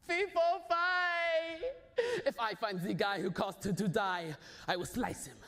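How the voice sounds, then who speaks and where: A man's voice: high voice, moderate pace, a bit bright. A man voicing a grieving, hot-headed young man who rants and raves. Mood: furious. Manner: ranting.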